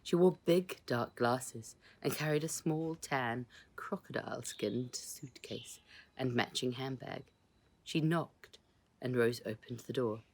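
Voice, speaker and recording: A woman's voice: rumbling low, takes it slowly, gravelly, a little mellow, slightly rough. A woman reading a children's storybook aloud as scripted narration, as its author.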